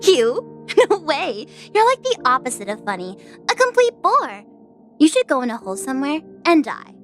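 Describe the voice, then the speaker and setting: Woman as a high, bratty young reaper girl. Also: huge pitch swings, moderate pace, soft background music. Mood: gleeful teasing. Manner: teasing, pouty, cheeky. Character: bratty, playful young female reaper assassin. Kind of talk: audio drama acting.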